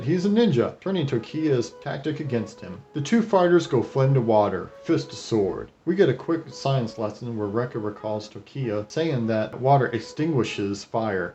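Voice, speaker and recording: Man, casual review narration, sarcastic and irreverent, medium pitch, profane asides, music underneath. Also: dark tone. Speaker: anime reviewer and video host. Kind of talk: casual scripted retrospective narration over clips.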